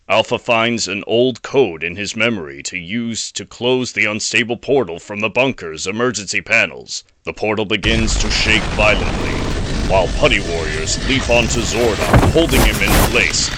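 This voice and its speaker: A man narrating a scripted action audio drama as a storyteller. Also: fairly low pitch. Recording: noisy home recording.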